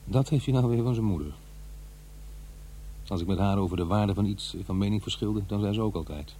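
Mellow low-voiced middle-aged father, played by a man. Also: fairly quick, slight background noise, close to an everyday voice. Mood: reminiscing. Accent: Netherlands Dutch. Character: middle-aged divorced father, practical, money-minded, sceptical. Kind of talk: radio play acting, dialogue and narration.

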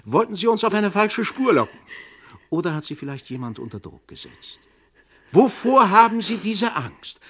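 A man's voice: high voice, sing-song, normal speed, a bit bright, husky, heavily acted. A man acting in an old German radio crime drama as a shrewd police inspector, probing, commanding and emphatic. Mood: pressing, accusing. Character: shrewd, insistent police inspector interrogating a witness.

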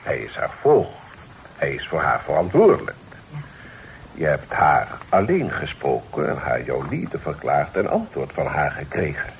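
An actor playing a sober, dignified middle-aged father, speaking formally and deliberately in a period radio play. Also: rumbling low, sing-song, vocal fry, exaggerated voice, rough recording. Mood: grave, measured. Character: sober, reasonable, dignified father of a genteel family. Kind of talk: period radio drama acting. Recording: old radio recording with faint noise.

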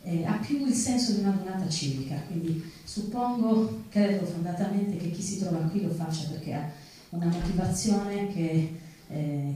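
A woman hosting an event from a theatre stage, giving a formal, measured thank-you speech with hesitant pauses. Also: lowish voice, warm tone, slight background noise. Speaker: event host introducing speakers. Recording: very echoey theatre, distant mic.

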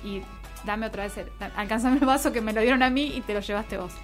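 A woman's voice: a little high, quick pace. A woman with an Argentine Rioplatense accent hosting a radio show in casual, playful, ironic banter. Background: music.

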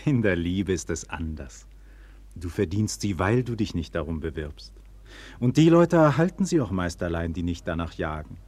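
A man's voice: sits low, expressive, moderate pace, a little mellow. An actor playing a confident, affectionate nobleman in a 1940s German radio play, declaiming theatrically and shifting between grand and intimate delivery. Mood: assured. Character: confident, affectionate nobleman and count, warm lover. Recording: old 1940s radio recording.

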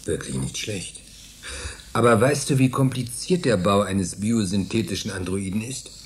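Man acting brisk programmer, very animated pitch. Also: slight background noise, natural voice. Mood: dry, skeptical. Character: Isaac, cautious, skeptical male programmer, excitable explorer. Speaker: radio drama actor. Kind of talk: German science fiction radio play acting.

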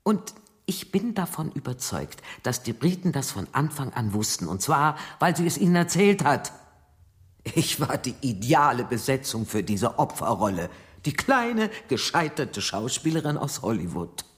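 A woman's voice: a bass voice, sing-song, normal speed, slightly rough, heavily acted. An actress in a radio drama playing a wary young woman agent who questions and defies. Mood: bitter, insistent.

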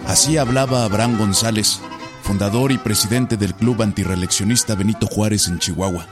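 An actor playing a matter-of-fact narrator of historical events in a scripted radio drama. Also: male voice, lowish voice, fairly even intonation, fairly quick, loud music behind the voice, natural voice. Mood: informative.

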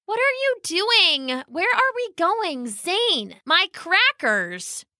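A woman's voice: fairly high pitch, very animated, slow pace, bright, forceful tone, no echo, clean studio sound, pitched above the performer's own voice, full character voice. A woman voicing a flustered, impatient older sister in a children's story, sputtering and indignant.

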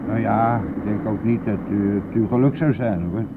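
An actor in a radio play, playing a gruff, impatient male bus driver who grumbles and curses in a brusque manner. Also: lowish voice, steady tone, normal speed, lightly acted. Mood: gruff, matter-of-fact. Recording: muffled, narrow-band, noisy old radio play.

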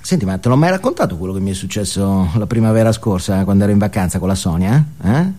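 A man's voice: lowish voice, animated, quick pace, warm tone, lightly acted. A male stage actor playing a ranting, self-justifying, foul-mouthed family man in a satirical comic monologue, agitated and forever tagging his sentences with questions. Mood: confiding. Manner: agitated, swearing, punctuates with "no?" and "eh?".

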